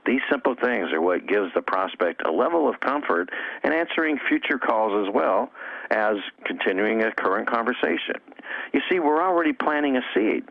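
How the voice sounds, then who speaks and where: A man's voice: medium pitch, very animated, moderate pace, creaky, no room sound. A man giving instructional interview answers as a coach and trainer. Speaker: network marketing coach and trainer. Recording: dull, dry lo-fi audio.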